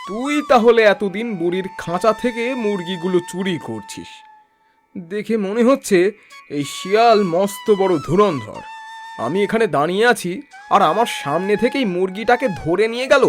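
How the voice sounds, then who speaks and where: Someone acting a helpful cartoon village man, animated intonation, earnest, familiar, faint music underneath. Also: mid-range voice, moderate pace, natural voice. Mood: indignant. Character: helpful, concerned village neighbour named Ratan, male. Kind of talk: Bengali children's cartoon dialogue.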